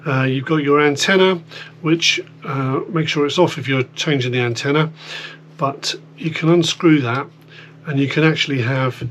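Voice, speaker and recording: British guy showing how some gear works, bit high voice, rambly and informal, faint hum behind him. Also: normal speed, a bit bright. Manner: informal, rambling, explaining step by step. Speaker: host of a radio gear demonstration video. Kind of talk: casual hands-on product demonstration. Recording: clear background noise with electrical hum.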